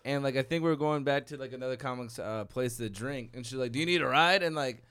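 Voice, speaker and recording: A man's voice: mid-range voice, fast, a bit bright, clean tone, no echo. A man on a comedy podcast who rambles through his stories in casual chat, full of "like" and filler. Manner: rambling, filler-heavy storyteller.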